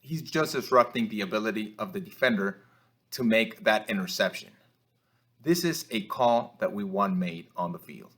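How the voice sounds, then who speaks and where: A man narrates a sports training film review as an instructor, explaining in a measured, slightly hesitant way. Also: fairly low pitch, expressive, a bit bright. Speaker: football officiating instructor.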